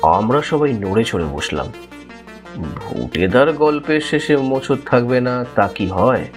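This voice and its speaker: A man's voice: mid-range voice, very animated, measured pace, soft background music. A man narrating a story in the first person as part of a dramatized audio production. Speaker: second narrator.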